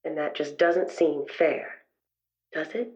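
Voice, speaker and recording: Woman acting as middle-aged therapist, low voice, gentle but weary, halting, quietly bitter, improvised roleplay, slightly muffled recording. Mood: weary, hurt. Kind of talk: improvised in-character roleplay for an actual play podcast.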